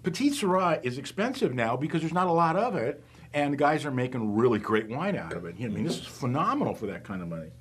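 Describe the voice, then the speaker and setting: A man's voice: mid-range voice, big pitch swings, fast talker, slightly rough. A middle-aged man co-hosting a wine tasting in a shop, dry and sarcastic in banter but effusive when praising the wines. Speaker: wine taster, co-host. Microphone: overhead boom mic.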